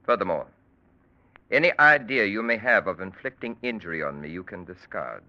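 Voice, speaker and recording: An old muffled radio crime drama recording. a calculating surgeon with a low voice and wide-swinging intonation. His speech is formal and precise, with a cold, unruffled menace. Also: animated, moderate pace. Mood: cold, menacing. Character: cold, calculating male surgeon extorting his criminal patients.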